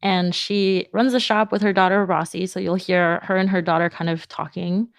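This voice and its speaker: Woman, slightly low voice, dry close recording, informal explanatory interview talk. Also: fairly even intonation, normal speed, vocal fry, dry recording. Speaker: podcast company founder, guest. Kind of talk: casual interview conversation.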